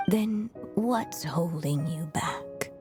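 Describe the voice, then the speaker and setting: An actress playing a gentle, wistful mother, a magical echo, in a video game story, speaking softly and tenderly. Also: fairly low pitch. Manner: slow, soft, tender delivery. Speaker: voice actor. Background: music.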